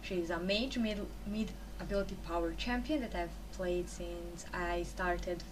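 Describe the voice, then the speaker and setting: A woman's voice: on the low side, a little slow, recorded from a distance, noisy background. A young woman from Croatia with a Croatian accent, speaking English as a second language, chatting loosely in an interview about her work as a game caster, often restarting her sentences. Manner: rambling, self-correcting, chatty. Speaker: esports caster, interview guest. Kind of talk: casual answers to interview questions.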